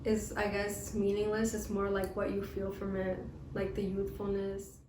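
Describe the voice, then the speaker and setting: Flat-voiced young woman, noisy echoey room. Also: mid-range voice, moderate pace, recorded from a distance. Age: young adult. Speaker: skater. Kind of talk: casual interview answers. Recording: echoey room with clear background noise.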